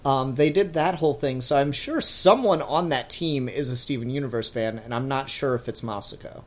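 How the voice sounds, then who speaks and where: A man's voice: medium pitch, normal speed. A man co-hosting a podcast, chatting casually and enthusiastically as a fan of animation. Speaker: podcast co-host.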